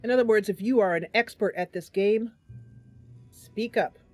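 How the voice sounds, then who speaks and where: Female game streamer, mid-range voice, chatty and animated, casual commentary with music behind. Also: medium pitch, moderate pace. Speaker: streamer and host playing a fantasy role-playing game. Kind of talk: casual livestream gameplay commentary with narration read aloud.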